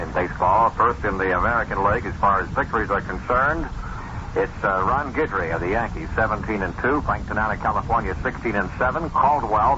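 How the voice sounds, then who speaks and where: A man reading out baseball statistics on 1970s radio in a clipped, list-like way. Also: lowish voice. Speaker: baseball broadcaster. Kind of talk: radio sports statistics rundown. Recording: dull, noisy 1970s radio broadcast.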